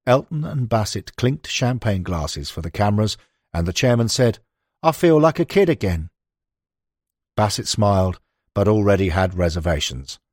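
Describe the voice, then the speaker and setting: Husky low-voiced man narrating a book. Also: a little mellow, no room sound, studio quality. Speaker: audiobook narrator. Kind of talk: scripted narration of a non-fiction football book.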